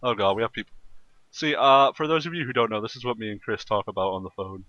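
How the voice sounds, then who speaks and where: A man's voice: lowish voice, quick pace, sharp tone, no echo, muffled recording. A man podcasting in casual, joking banter with a theatrical, playful manner.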